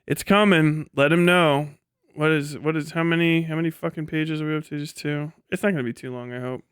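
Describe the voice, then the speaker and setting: A man's voice: fairly high pitch, fairly even intonation, brisk, clean tone, no echo, clean studio sound. A man in his late thirties hosting a casual livestream, rambling and swearing freely with a self-deprecating manner. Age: late 30s. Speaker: livestream host.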